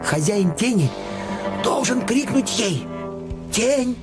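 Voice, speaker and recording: An actor playing an anxious, secretive doctor in a theatrical audio play, hesitant and pleading. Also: male voice, high-pitched, expressive, takes it slowly, over loud music. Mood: secretive, urgent.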